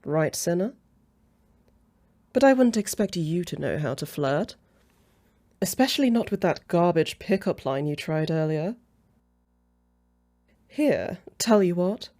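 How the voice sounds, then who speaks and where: A woman's voice: lowish voice, animated, measured pace, long pauses, mellow. A woman playing a haughty, jealous female vampire in an ASMR roleplay, with a snippy, sarcastic way of talking. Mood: condescending, flirty. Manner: snippy, sarcastic, easily flustered.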